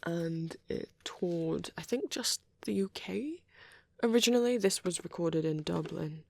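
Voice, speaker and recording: A performer voicing a shy, bashful, nerdy young male vampire boyfriend in a soft, hesitant, rambling ASMR roleplay. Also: mid-range voice, measured pace, vocal fry, no room sound. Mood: shyly enthusiastic rambling. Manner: hesitant, stammering, apologetic, excitable when rambling.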